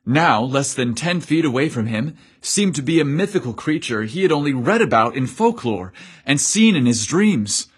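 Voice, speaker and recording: Husky-voiced man narrating a story. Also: medium pitch, sing-song. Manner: measured, descriptive storytelling.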